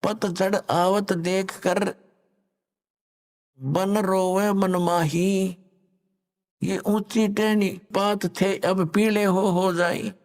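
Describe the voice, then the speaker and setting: Slow gravelly preacher man in a reverberant hall. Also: high-pitched, steady tone, darkish tone. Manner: slow, drawn-out recitation. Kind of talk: devotional discourse with recited couplets.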